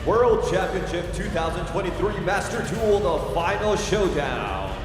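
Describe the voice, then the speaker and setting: A man with a lively, high announcer voice introduces the teams slowly and grandly. He sounds like an arena PA with a long, echoing reverb, with music under him. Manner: drawn-out, booming announcer delivery. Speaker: stage announcer.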